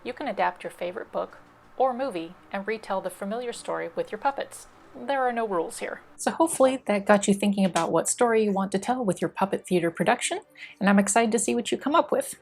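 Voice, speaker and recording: A woman's voice: medium pitch, animated, fast talker, creaky, a little mellow. A woman library presenter giving scripted instructional narration, explaining things step by step.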